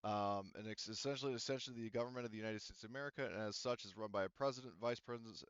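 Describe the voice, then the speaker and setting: A man running a tabletop roleplaying game as game master, speaking casually and haltingly as he improvises details. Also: lowish voice, clear, resonant tone, no echo, lo-fi sound. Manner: halting, hesitant delivery as he improvises.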